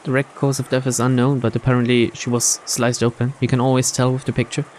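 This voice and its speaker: A man's voice: mid-range voice, little pitch movement, quick pace, darkish tone, slight background noise. A man hosting a let's-play, reading game text aloud and commenting casually. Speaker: gameplay host.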